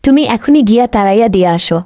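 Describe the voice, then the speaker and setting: A woman's voice: a little high, animated, rapid-fire, mellow, no echo, clean studio sound, lightly acted. A woman voicing an alarmed, bossy animal mother in a children's cartoon, urgent and suspicious.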